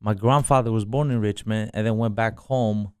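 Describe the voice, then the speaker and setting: A man with a Greek accent, a guest on a radio show, talking in a relaxed, hesitant way with many fillers. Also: fairly low pitch, moderate pace, smooth, dry recording, studio quality. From Albania and Greece. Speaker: guest, business owner. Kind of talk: casual radio interview. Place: radio studio.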